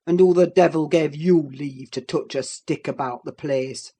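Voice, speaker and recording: A woman's voice: sits low, steady tone, a little slow, mellow, pitched below the performer's own voice. A woman reading aloud, voicing a furious, brooding middle-aged master of the house who threatens and gives orders. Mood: raging. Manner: harsh, threatening, commanding.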